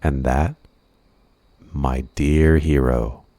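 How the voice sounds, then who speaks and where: An extremely deep-voiced villain, played by a man, obsessive and possessive, in an ASMR roleplay. He speaks slowly and deliberately, with intimate menace. The sound is dry and close. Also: steady tone, warm tone, no room sound, heavily acted. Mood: possessive, coaxing. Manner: slow, deliberate, intimate menace with coaxing endearments. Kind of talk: ASMR roleplay, scripted monologue.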